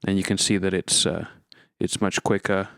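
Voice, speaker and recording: A man gives an instructional demonstration in a fairly low voice. He explains things methodically, one step after another. His delivery is clean and resonant, recorded very dry with no room sound. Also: little pitch movement, normal speed, gravelly, a bit bright, dry recording. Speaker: tutorial presenter.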